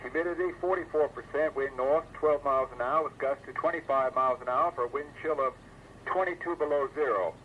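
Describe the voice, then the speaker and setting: A man's voice: medium pitch, fairly quick, narrow, tinny audio, slight background noise. A male announcer giving a scripted current conditions report on 1980s weather radio. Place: broadcast over weather radio.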